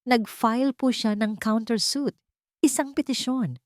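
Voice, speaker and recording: A woman narrator plays a resolute young man, a lawyer in an audio drama. He speaks firmly and respectfully, measured and protective, in a dry close recording. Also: fairly high pitch, no room sound, voice pushed up, lightly acted. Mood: grave, hesitant.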